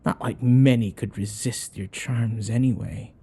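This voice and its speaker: Man voicing a slow, husky, possessive vampire. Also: medium pitch, fairly even intonation, mellow. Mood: weary, possessive. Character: possessive male vampire lover, weary and jealous. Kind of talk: ASMR roleplay acting.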